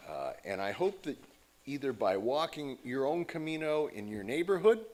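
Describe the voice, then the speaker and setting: A man's voice: mid-range voice, very animated, moderate pace, a little mellow. An American man speaking as a guest at a church service, telling stories in a conversational way. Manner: conversational, storytelling with quoted voices. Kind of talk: informal talk from the pulpit.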